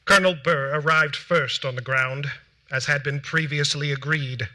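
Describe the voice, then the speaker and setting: An actor playing a solemn early American gentleman, formally and deliberately reciting a witness account in a stage reading. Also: on the high side, fairly even intonation, rough recording. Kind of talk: theatrical dramatic reading of period documents. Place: theatre stage before a seated audience.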